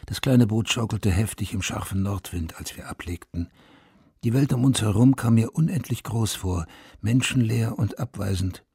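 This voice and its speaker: An actor playing a haunted, self-accusing Vietnam War veteran who narrates a radio drama in a sober, confessional, terse way. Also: male voice, on the low side, moderate pace, creaky, warm tone, breathy edge, no room sound, exaggerated voice. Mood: sober recollection. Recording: studio radio play with music underneath.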